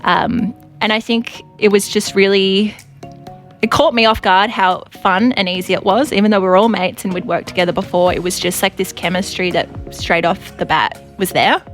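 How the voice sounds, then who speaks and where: A woman's voice: medium pitch, fairly even intonation, normal speed, faint music behind. Young Australian woman, musician guest, casual and self-deprecating. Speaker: band member, guest. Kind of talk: casual radio interview.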